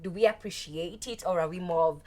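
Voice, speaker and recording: A woman's voice: fairly low pitch, brisk, a little mellow. A woman co-hosting a talk show, asking questions in a chatty way with many fillers. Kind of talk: casual interview chat.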